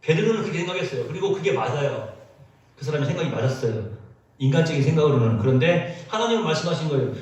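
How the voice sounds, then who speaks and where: A male pastor preaching a sermon in an animated, storytelling way, with many acted quotes. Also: a little high, quick pace, lo-fi sound. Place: church sanctuary. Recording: echoey church sound from a distance.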